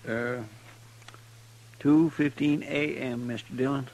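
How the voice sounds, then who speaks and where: A man's voice: mid-range voice, fairly even intonation, slow pace, darkish tone. A man playing an earnest, folksy deputy sidekick in a 1950s radio western, hesitant and deferential. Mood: musing. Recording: faintly noisy old radio recording.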